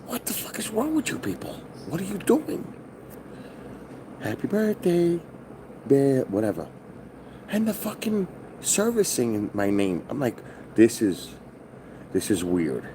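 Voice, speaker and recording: A middle-aged man from New with a loud voice, telling a rambling, profane story on a casual stream from his hotel. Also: medium pitch, slight background noise. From New York. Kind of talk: casual live-stream vlog. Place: hotel, indoors.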